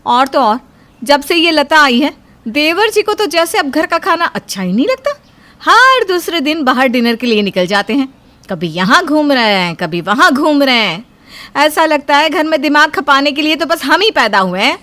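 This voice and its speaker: A woman's voice: high-pitched, big pitch swings, normal speed, pushed and bright, full character voice. A woman playing a jealous, spiteful housewife in a cartoon moral story, sarcastic, bossy and full of complaints. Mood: resentful complaining. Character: spiteful, jealous elder sister-in-law, grumbling housewife.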